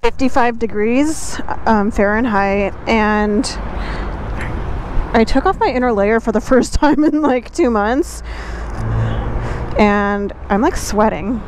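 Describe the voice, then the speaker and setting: A woman's voice: on the high side, slow, long pauses. A young woman talking casually while riding a motorcycle through city traffic, chatty, laughing easily and using lots of fillers. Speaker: motovlogger. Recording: loud wind and traffic noise.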